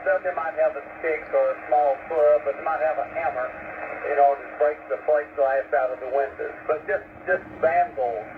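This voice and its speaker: An older man with a Southern US accent talks casually over amateur radio. His delivery rambles and halts, in a fairly high voice. The transmission is very narrow, with heavy noise over it. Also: fairly even intonation, moderate pace. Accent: American South. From North Carolina, USA. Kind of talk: casual ham radio chat. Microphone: radio microphone heard over the air. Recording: narrow-band shortwave radio, loud static.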